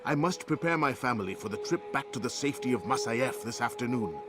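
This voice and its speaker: A man's voice: medium pitch, animated, quick pace, natural voice. An actor playing a friendly male informant in a video game, earnest and polite. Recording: game music behind.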